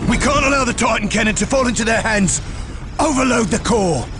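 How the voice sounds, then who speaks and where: An actor playing an anxious soldier under fire in a game cutscene, speaking with strained urgency. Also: male voice, very high-pitched, brisk, very noisy. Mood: urgent, desperate. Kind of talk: dramatic game cutscene acting.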